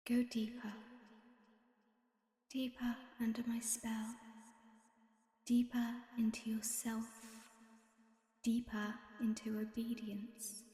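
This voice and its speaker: A woman whispers slowly and softly in the manner of a hypnosis session, her tone commanding. Her voice is mellow, rather high and flat in melody. The recording carries a long, roomy reverb. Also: soft background music. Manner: slow soft whispering, commanding. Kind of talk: hypnosis audio.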